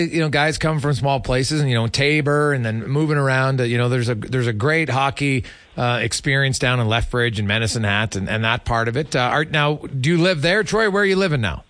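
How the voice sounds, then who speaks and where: A man's voice: mid-range voice, fairly quick, a bit bright, dry recording. A man hosting a sports show, asking questions in a casual, conversational interview style. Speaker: sports radio host.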